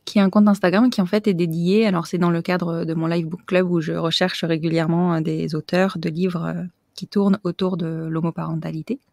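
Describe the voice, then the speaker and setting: A woman hosting a podcast interview, chatty and quick to laugh. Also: fairly low pitch, little pitch movement, vocal fry, warm tone, no echo, clean studio sound. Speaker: podcast host. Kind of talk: conversational interview.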